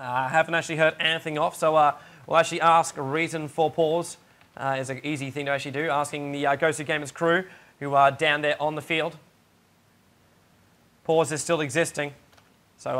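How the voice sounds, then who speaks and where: A young man with a raspy voice, chatting and joking as an esports shoutcaster from his home studio. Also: on the high side. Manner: chatty and joking, with many fillers. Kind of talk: casual solo commentary during a game pause.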